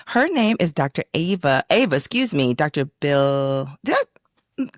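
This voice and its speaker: Host: woman, animated hype delivery, dry studio sound. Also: low voice, normal speed, no room sound. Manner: hype, slangy, playful. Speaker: podcast host and business coach. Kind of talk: energetic scripted intro, then casual interview chat.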